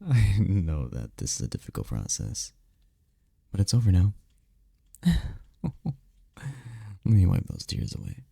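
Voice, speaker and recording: Man voicing a deep, gravelly, tender incubus boyfriend. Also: huge pitch swings, measured pace, pauses often, no echo, studio quality, heavily acted. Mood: tender, reassuring. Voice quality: gravelly, dark low voice. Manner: soft, soothing, teasing, lapses into whispers. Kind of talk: intimate ASMR roleplay, comforting. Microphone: close microphone, dry.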